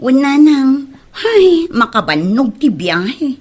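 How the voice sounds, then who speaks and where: A young Ilocano daughter played by a woman, slightly high in voice. She sounds weary and hesitant, drawing out her words in comedy-drama style. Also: sing-song, slow, a little mellow, full character voice.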